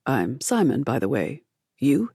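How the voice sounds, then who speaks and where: A husky, gravelly young man, put on by a woman narrator, who keeps his answers short and dry. He sounds guarded and evasive, with his words dropped into a close, dead-dry audiobook recording. The voice sits a little lower than the narrator's own. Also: big pitch swings, a little slow, dark tone, no room sound, full character voice. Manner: dry, clipped, deflecting small talk. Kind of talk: audiobook character voice.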